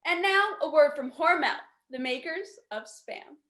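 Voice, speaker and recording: A woman's voice: high-pitched, lively intonation, unhurried, fairly bright tone, reverberant. A woman announcing programmes and sponsors in the style of an old-time radio show.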